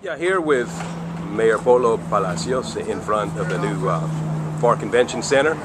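A man's voice: fairly low pitch, lively intonation, moderate pace. A man interviewing a mayor, restarting and rephrasing his questions. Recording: loud outdoor traffic noise.

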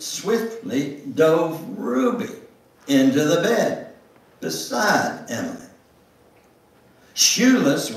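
Older male reader, dramatic literary recitation, slow and deliberate, distant mic in a church. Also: fairly high pitch. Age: older, 70s or above. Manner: theatrical, deliberate, with drawn-out words and emphatic pauses. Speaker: guest speaker reading at a church. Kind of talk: dramatic reading of a memoir. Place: church sanctuary, indoors at the front. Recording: roomy, echoing church sound from afar.

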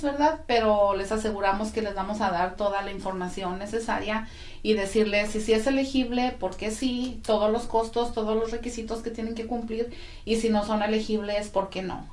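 A woman's voice: mid-range voice, fairly even intonation, moderate pace, a little mellow, a little hiss or hum. Woman explaining legal steps in an echoey office. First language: Spanish. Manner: friendly, explanatory, frequent tag questions. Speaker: immigration legal representative. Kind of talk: informative interview talk. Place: small office with bookshelves, indoors.

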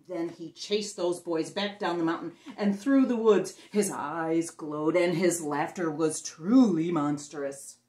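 A middle-aged woman reads a picture book aloud to young children with theatrical emphasis and dramatic pauses. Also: on the low side, mellow. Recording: roomy echo on the voice.

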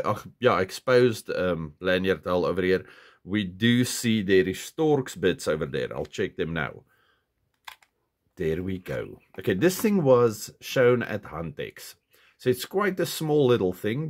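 A man from South Africa with a stuffy, nasal voice from a cold, chatting casually through a hands-on knife review at his desk, full of "okay" and "um". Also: lowish voice. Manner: chatty, self-correcting, lots of "okay" and "um". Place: indoors at a desk. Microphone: camera on the desk, hands-only framing.

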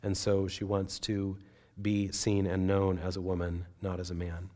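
A man in his forties, a pastor, preaching an earnest, measured sermon from a pulpit on a church stage. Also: low-pitched, monotone, a little mellow.

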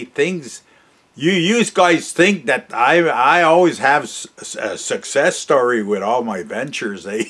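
A man in his sixties with a Canadian accent, telling stories casually in a folksy, halting, jovial way as a vlog host. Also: medium pitch, fairly bright tone. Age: 60s. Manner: folksy, halting, often tags sentences with "eh"; jovial, chuckles often. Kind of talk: casual rambling storytelling to camera. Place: cluttered garage workshop, indoors.

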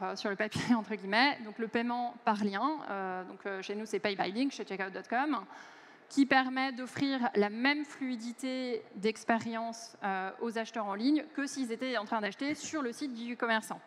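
A woman's voice: fairly high pitch, normal speed. A woman in her forties giving a conference keynote on a large stage through a headset mic, speaking fluently but with many hesitant fillers. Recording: long hall reverb.

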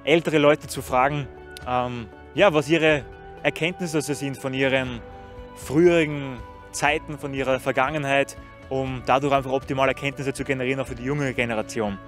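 A man's voice: mid-range voice, fairly even intonation, normal speed, a bit bright, soft background music. A young man doing street interviews outdoors in a city, talking into a handheld microphone in an upbeat, promotional way. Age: young adult.

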